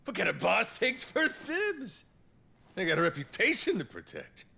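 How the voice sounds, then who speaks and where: An actor playing a brash, theatrical middle-aged yakuza boss in an English game dub, with a gravelly, swaggering delivery. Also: male voice, high-pitched, huge pitch swings, normal speed, sharp tone, full character voice. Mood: brash, needling. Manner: swaggering, teasing, swooping delivery. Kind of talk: English-dubbed video game cutscene acting.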